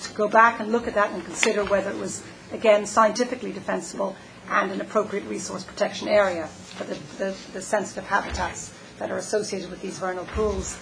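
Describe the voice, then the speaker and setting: Woman with a gravelly edge presenting. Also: medium pitch, steady tone, noisy background. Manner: measured, hesitant with fillers. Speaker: planning staff presenter. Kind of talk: public meeting presentation.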